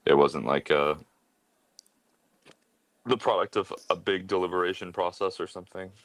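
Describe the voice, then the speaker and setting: A man's voice: low-pitched, moderate pace, dry recording. A Canadian man in his thirties with a Midwestern accent, chatting casually as a guest and thinking aloud with lots of hesitant fillers. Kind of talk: casual conversation.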